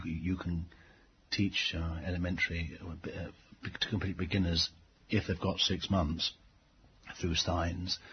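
A middle-aged man giving reflective interview answers as a teacher, speaking haltingly with frequent pauses. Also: deep voice. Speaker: English language teacher being interviewed. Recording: dull lo-fi tape, faint background noise.

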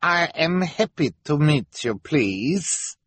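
A man's voice: on the high side, sing-song, slow, fairly bright tone, breathy edge, no room sound, voice pushed up, full character voice. A man voicing a sly villain posing as a foreign auctioneer, with a put-on foreign accent and stilted, oily politeness. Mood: feigned politeness. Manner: stilted broken English, oily false politeness.

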